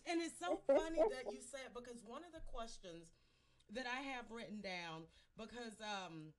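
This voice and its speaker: A woman hosting a casual live-stream interview, talking in an animated, playful, exclamatory way and addressing her listeners. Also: a little high, normal speed, a crowd in the background. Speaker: host.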